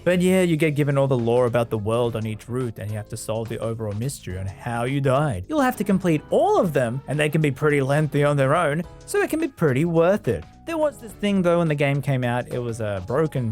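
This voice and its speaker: A man's voice: a little high, darkish tone. A man delivering a casual, humorous scripted video game review voice-over, with joking, sarcastic asides. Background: background music.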